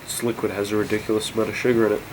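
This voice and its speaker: A man's voice: fairly low pitch, level tone, rapid-fire, heavy background noise. A congested young man vlogging, rambling and incredulous, with many fillers and restarts. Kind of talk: casual rant to camera.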